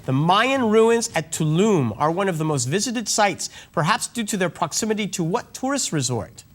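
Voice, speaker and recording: A quiz show host reading out trivia questions in a clear, measured way and calling on players by name. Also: medium pitch, big pitch swings.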